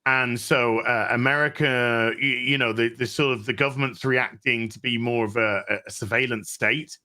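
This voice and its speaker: Male stream host, bright tone, rambling chat, reads donations. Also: medium pitch, steady tone, normal speed. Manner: rambling, frequent restarts. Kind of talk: casual livestream chat, reading donations.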